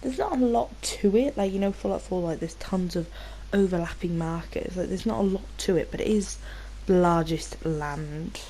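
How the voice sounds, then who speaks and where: A British woman hosts a gameplay video in a fairly low, soft-edged voice. She rambles informally and swears freely, a little nasal from a cold. There is slight noise and game ambience behind her. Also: moderate pace, hardly any pauses, low-quality recording. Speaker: gaming video host. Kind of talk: casual gameplay commentary.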